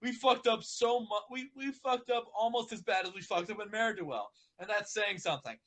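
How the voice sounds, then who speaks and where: Man, high bright voice, joking, sweary, improvised character reading, breaks off mid-line. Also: a little fast. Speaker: voice actor in a group fan fiction reading.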